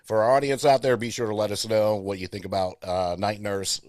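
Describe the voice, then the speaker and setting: Low-voiced chatty podcast host, man. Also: little pitch movement, brisk, a little mellow, dry recording. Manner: chatty, stumbling restarts. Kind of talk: casual press-day interview.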